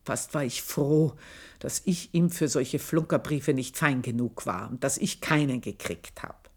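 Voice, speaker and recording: An Austrian actress playing a proud, jealous village-born maidservant, telling her story in a confiding, earthy radio monologue with drawn-out emphasis. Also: very deep voice, husky, heavily acted. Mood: hushed, eager. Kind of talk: dramatic first-person radio monologue, literary storytelling.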